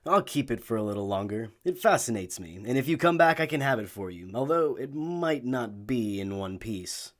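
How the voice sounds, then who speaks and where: A mild, quirky gentleman from an audio drama, played by a male actor. His mid-pitched voice moves up and down in a lively way. He is courteous and measured, with dry understatement. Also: close to an everyday voice. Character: polite, mild, quirky male host of wandering guests.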